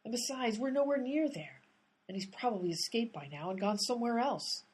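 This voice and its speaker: A woman playing an impatient amateur detective in a comedy radio play, brisk and exasperated as she works the case. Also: fairly high pitch, expressive, a little mellow, husky.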